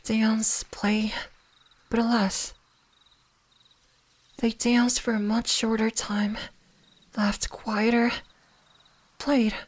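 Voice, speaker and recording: A woman voicing a gentle, lonely young kitsune fox spirit in an ASMR roleplay, half-whispering softly and wistfully like a bedtime storyteller. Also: on the high side. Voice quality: soft, breathy, half-whispered.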